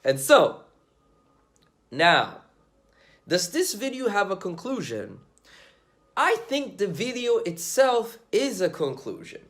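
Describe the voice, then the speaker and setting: Animated blunt man ranting to camera. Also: high-pitched, takes it slowly, long pauses. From Sweden. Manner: blunt, animated, slangy, swears. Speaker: dating commentator vlogger. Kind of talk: casual monologue to camera.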